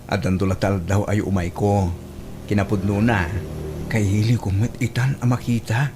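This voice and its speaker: Friendly office colleague, lower male voice, candid, conversational, matter-of-fact, audio drama, faint noise. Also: little pitch movement, unhurried. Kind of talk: Ilocano audio drama acting.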